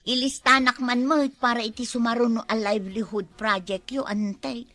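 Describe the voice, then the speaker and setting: Woman acting a high-voiced, whiny village woman. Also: steady tone, normal speed, bright, forceful tone. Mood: pleading. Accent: Ilocano. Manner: complaining, pleading, exclaiming. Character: whiny, pushy village woman always running late. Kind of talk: Ilocano comedy drama dialogue.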